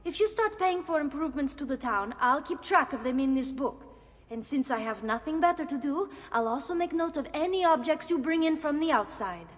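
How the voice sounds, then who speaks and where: A young italian gal, high voice, fed up and sarcastic, italian accent, echoey hall. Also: female voice, animated, a little fast. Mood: grudging, sarcastic. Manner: exasperated, sarcastic, emphatic. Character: indignant, sarcastic young Italian sister of the hero.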